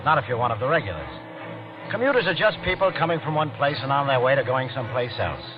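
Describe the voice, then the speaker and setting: A man's voice: mid-range voice, big pitch swings, fast, close to an everyday voice. An actor playing a world-weary commuter who narrates his confession in a colloquial, brooding way for a 1940s radio drama. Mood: brooding, confiding. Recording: dull old 1940s radio recording, music behind.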